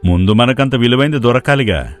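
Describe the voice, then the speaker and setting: A scheming young village sidekick from a moral tale, played by a man, speaks at middle pitch. He is lazy, casual and a bit mocking. Music plays behind him. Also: expressive, fairly quick, close to an everyday voice.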